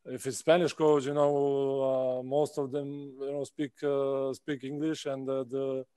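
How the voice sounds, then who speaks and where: A man in his thirties with a Czech accent, a basketball player answering interview questions over a video call, speaking haltingly with many pauses and restarts. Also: mid-range voice, level tone, warm tone, smooth, no room sound. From Czech Republic. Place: indoor room at home. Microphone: video call laptop mic.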